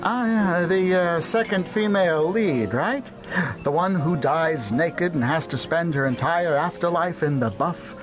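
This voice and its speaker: A man's voice: on the high side, measured pace, lightly acted, low-quality recording. A man playing a stammering sleazy producer. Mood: wheedling. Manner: hesitant, stammering, full of ums and uhs. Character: flustered, sleazy male B-movie producer. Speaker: film producer. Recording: radio play with music behind.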